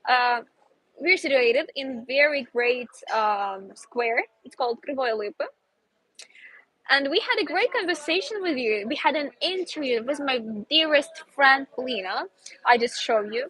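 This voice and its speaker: A young Ukrainian woman speaks English in a high, bright voice as she hosts an outdoor live stream. She sounds chatty and upbeat and sometimes stops to search for the right word. The sound is dry and close. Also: no room sound, low-quality recording. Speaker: host, English teacher. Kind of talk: casual live stream chat. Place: outdoors in a city square.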